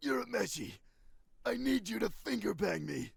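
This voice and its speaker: A man voicing a hot-headed, lovestruck young male brawler in an anime parody, blustering and earnest with a gravelly, strained voice. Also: sits high, big pitch swings, moderate pace, no echo, full character voice. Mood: strained, plotting.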